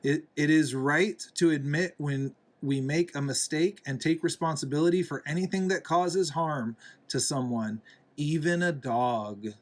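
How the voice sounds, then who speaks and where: A man, a father, reading a picture book aloud to a young child in a deliberate, gently explaining, instructive way. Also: a little high. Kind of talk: read-aloud storybook narration with asides to a young child.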